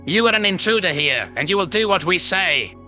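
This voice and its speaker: Man doing a sharp, piercing, bossy bird guard. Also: sits high, lively intonation, fairly quick, faint music behind, voice pushed up, heavily acted. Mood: scornful. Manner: bossy, disdainful. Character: stern, contemptuous avian alien security guard. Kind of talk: audio drama character voice.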